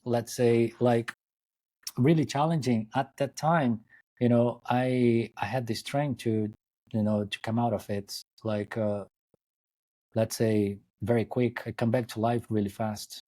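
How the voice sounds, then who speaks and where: Mellow-voiced Brazilian man. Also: medium pitch, steady tone. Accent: Brazilian Portuguese. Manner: unhurried, frequent fillers and restarts. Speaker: guest yoga teacher. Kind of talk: interview storytelling. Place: home, joining remotely.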